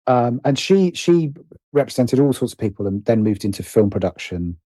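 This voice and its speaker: Middle-aged man, British accent, mellow husky voice, reflective interview talk, hesitant phrasing, dry close sound. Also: mid-range voice, sing-song, normal speed, no room sound. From Britain. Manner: hesitant, self-interrupting, often rephrasing. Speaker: guest, writer and producer.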